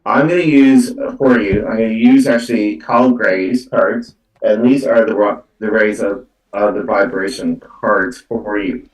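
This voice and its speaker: A man giving a live spiritual card reading as a psychic medium, speaking in halting, repetitive phrases. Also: fairly low pitch, steady tone, recorded from a distance. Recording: roomy, echoey, away from the mic.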